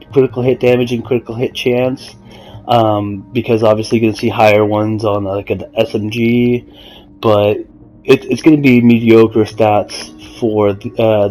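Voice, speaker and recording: A man giving a casual, explanatory game walkthrough in a measured, somewhat halting way. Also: on the low side, dark tone, rough recording. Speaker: gamer presenting a character build guide. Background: music.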